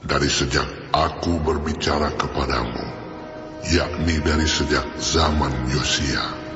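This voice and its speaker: A man's voice: a bass voice, fairly even intonation. An actor voicing God as a solemn, commanding male voice in an audio Bible drama. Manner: slow, grave, commanding. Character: God speaking, vast deep solemn male voice. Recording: echoing hall reverb, muffled. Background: music.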